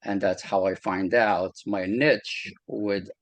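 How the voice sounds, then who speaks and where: A man from Morocco, with a Moroccan accent and Arabic as his first language, talks about his career as a real estate agent in a halting way, with many fillers and restarts. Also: fairly low pitch, fairly even intonation, no echo. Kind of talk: casual interview talk.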